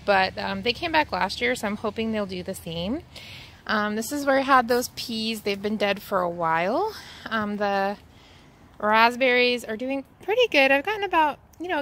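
A woman in her thirties, hosting a casual backyard garden tour vlog, talking in a relaxed, rambling way with many ums, recorded on a phone held at arm's length outdoors. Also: a little high, slight background noise. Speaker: vlog host.

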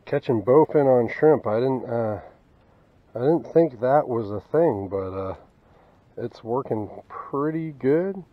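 A man's voice: medium pitch, expressive, slow pace, a little hiss or hum. A young man with a Southern US accent, talking casually to the camera while fishing from a small boat, muttering asides and cursing at fish. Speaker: fishing channel host. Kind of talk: casual fishing vlog commentary. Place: small boat on a wooded river outdoors. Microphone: action camera on the boat. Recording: slightly dull outdoor camera audio.

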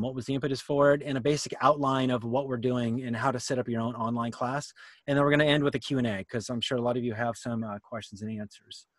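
A man's voice: mid-range voice, no room sound. A middle-aged American man giving an informal webinar presentation over a video call. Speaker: presenter, children's playwright and drama teacher. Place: indoor room at home, video call.

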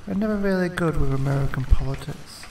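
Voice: medium pitch, quick pace, a little mellow, far from the mic, a little hiss or hum, poor audio. A gamer giving casual, chatty commentary while playing, playfully reading lines aloud. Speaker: gamer, let's play host.

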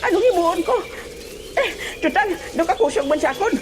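Comic audio drama role: frantic old man, played by a woman, high voice, exclamatory, very noisy recording. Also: lively intonation, fairly quick. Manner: exclamatory, talks through each action aloud.

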